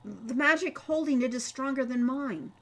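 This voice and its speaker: A woman's voice: high-pitched, normal speed. A teenage boy magician, played by a woman reader in a storybook tale. He sounds drained and subdued, speaking in a weak, hesitant manner. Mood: helpless.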